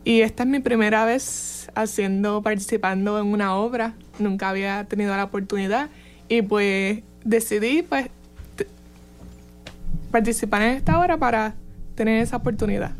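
A woman's voice: mid-range voice, fairly even intonation, normal speed, soft background music. Female student, young, Puerto Rican accent, plain guest answers. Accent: Puerto Rican Spanish. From Puerto Rico. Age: young adult.

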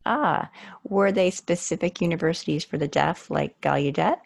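A woman hosting a podcast, reading a scripted introduction and asking measured interview questions. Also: low voice, gravelly. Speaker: podcast host.

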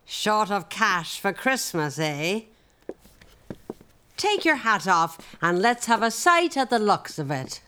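An actress playing a brusque older hair dealer who is curt and impatient, in a radio drama. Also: female voice, medium pitch, big pitch swings, takes it slowly, bright, forceful tone, slight background noise, heavily acted. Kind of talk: stage-style radio drama acting.

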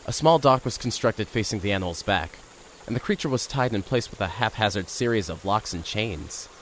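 A man narrates an audio drama in the first person, earnest and wry about his own misadventures. His voice sits low but moves a lot in pitch. Faint outdoor noise runs underneath. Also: a bit bright, poor audio. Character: nervous young radio reporter narrating.

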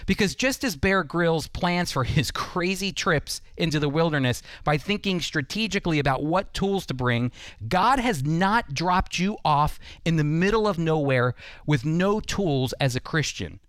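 A man's voice: a little high, animated, a little slow, a bit bright, dry recording. A man preaching a scripted Bible teaching, speaking earnestly and instructively straight to the listener.

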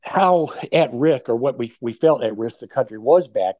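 An older American man giving conversational political commentary as a guest, in a hesitant, halting way with frequent stumbles. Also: mid-range voice, expressive, a little mellow, dry recording. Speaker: talk show guest commentator.

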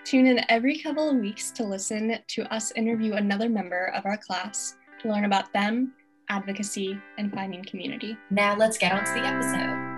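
A young woman reads a show introduction in a bright, announcer-like way. Faint guitar plays softly underneath. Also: medium pitch, brisk, poor audio. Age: young adult. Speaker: podcast host. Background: faint guitar music.

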